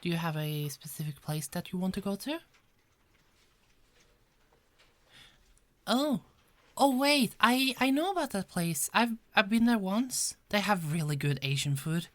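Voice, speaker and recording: A woman's voice: mid-range voice, big pitch swings, moderate pace, dry recording, natural voice. A woman playing a frazzled but friendly police detective in an ASMR roleplay, with a hesitant, stammering delivery that often repeats words. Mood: shy, pleased. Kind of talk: ASMR roleplay, one-sided dialogue.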